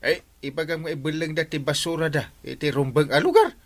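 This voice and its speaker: A man with an Ilocano accent acting an excitable village captain in a comedy radio drama, full of drawn-out exclamations. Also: on the high side. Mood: explaining, animated.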